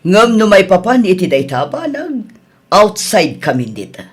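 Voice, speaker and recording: A woman's voice: mid-range voice, very animated, a little slow, slightly rough. An actress with a Filipino accent playing a polite but firm female boss in a radio drama, apologetic as she refuses.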